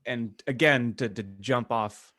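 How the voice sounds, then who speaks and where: A man with a mid-range voice chats as a podcast co-host. His delivery is casual and jokey, like relaxed banter among regulars. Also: medium pitch, measured pace. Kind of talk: casual joking chat.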